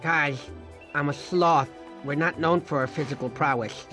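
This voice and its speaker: A man voicing a goofy, clumsy male sloth in an animated game, with a lisping, nasal voice and a dopey, rambling manner. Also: fairly high pitch, a little slow, over music, close to an everyday voice. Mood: reluctant. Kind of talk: animated game cutscene acting.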